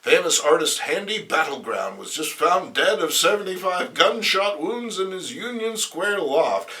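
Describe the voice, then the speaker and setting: A man's voice: high-pitched, sing-song, a little slow, bright, forceful tone. A man giving a theatrical, comedic narration as he reads a comic book aloud.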